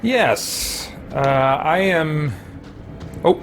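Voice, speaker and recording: A man's voice: fairly high pitch, lively intonation, extremely slow, faint music behind. A middle-aged man chatting casually as he hosts a game livestream, thinking aloud in a rambling way. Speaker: livestream host playing a video game. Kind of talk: casual live game commentary and chat. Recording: noticeable background noise.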